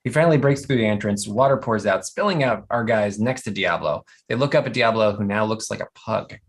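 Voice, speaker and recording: A narrator reading out scripted stage directions at an animated comedy table read. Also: medium pitch, fairly quick.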